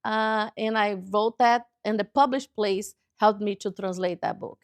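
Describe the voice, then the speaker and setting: A woman in her forties from Brazil, whose first language is Portuguese, speaking English with a Brazilian accent in a TV interview and pausing often to find words. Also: mid-range voice, dry recording. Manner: halting, searching for words, cheerful. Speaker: guest author. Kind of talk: casual interview chat. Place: local TV studio.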